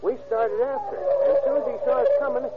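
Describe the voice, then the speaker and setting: An actor playing an eager working-class street witness in a 1940s radio drama, talking in a folksy, ungrammatical way. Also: male voice, extremely high pitch, fast talker, over loud music. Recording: dull lo-fi old radio broadcast.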